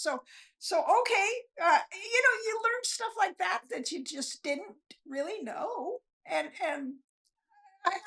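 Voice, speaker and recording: An older woman with an extremely high voice. She talks as a guest author in a casual interview, rambling along in a self-deprecating, chuckly way. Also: vocal fry, a bit bright.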